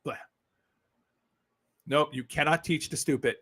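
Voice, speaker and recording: New York man, livestream host; blunt, sarcastic, swears freely, slightly high pitch. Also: fairly even intonation, a little slow, fairly bright tone, slightly rough. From Brooklyn, New York. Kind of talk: casual live-stream chat.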